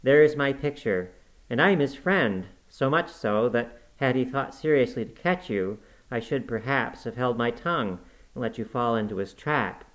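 A man reads as a haughty young married woman in a storybook. She is imperious and sharp-tongued, and scolds with emphasis. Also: medium pitch, expressive, moderate pace, natural voice, low-quality recording. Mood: emphatic warning.

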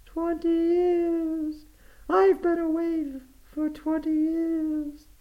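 Guy reading as a little ghost girl sobbing to be let in, way up high, dragged out slow. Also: completely flat delivery, muffled recording, exaggerated voice. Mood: wailing plea. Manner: wailing, slow and drawn-out.

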